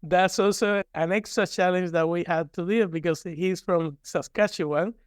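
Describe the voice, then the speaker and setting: Male interview guest, Cuban accent, native Spanish speaker. Clear, smooth tone, candid conversational delivery, dry close recording. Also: sits high, fairly even intonation, moderate pace, dry recording. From Cuba. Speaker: guest, university professor.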